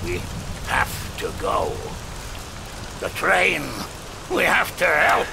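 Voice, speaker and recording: An actor playing a frightened, injured police constable in an audio drama, with a strained, creaky voice. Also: male voice, on the high side, huge pitch swings, drawn-out words, heavy background noise, exaggerated voice. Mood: pained, weary. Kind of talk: full-cast audio drama. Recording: music under the voice.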